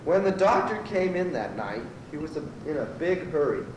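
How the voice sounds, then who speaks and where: A man's voice: a little high, big pitch swings, moderate pace. A man playing an earnest, rambling teenage goat who works as a rental clerk in an amateur radio comedy. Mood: rambling, explaining. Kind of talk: amateur old-time radio comedy acting. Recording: echoey, distant, noisy amateur tape.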